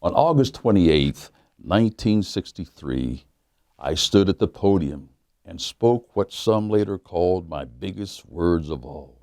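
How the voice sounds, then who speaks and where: A man, a pastor, reading a children's book aloud in a measured, emphatic way with dramatic pauses. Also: deep voice, animated, mellow, dry recording, clean studio sound. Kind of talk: storybook read-aloud for children.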